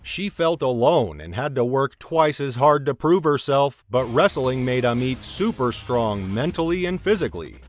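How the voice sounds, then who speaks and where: A man giving scripted documentary narration in a steady, even read-aloud delivery. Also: mid-range voice, normal speed, few pauses, slight background noise. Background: faint background music.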